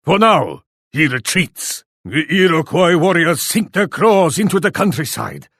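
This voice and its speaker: An actor playing a proud, commanding Japanese warlord in a video game, speaking in a haughty, formal, declarative way. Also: male voice, a little high, sing-song, unhurried, fairly bright tone, no room sound, clean studio sound, heavily acted. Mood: grim, alarmed. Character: proud, imperious Japanese warlord who speaks of himself grandly. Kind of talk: dramatic video game voice acting.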